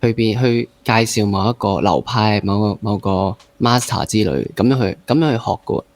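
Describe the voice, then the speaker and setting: A man's voice: lowish voice, normal speed, no echo. A man with a Hong Kong accent hosting a casual interview, rephrasing and repeating himself as he thinks aloud. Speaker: interview host.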